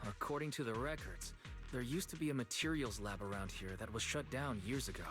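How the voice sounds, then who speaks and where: A man voicing an earnest, considerate young man from a video game. He explains things in measured, careful phrases while music plays clearly behind him. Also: medium pitch, lightly acted. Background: loud game music.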